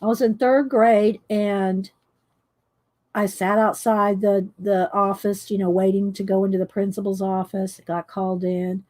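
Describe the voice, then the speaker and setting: A middle-aged woman with a loud voice that carries, chatting casually and rambling with frequent laughs while she colors for her viewers. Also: medium pitch, level tone, unhurried. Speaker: coloring channel host.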